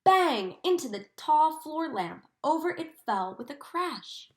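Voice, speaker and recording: A woman with a somewhat high voice reads aloud like a schoolteacher. Her delivery is deliberate and expressive, shaped to instruct young listeners. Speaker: teacher reading aloud. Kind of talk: expressive storybook read-aloud with comprehension questions.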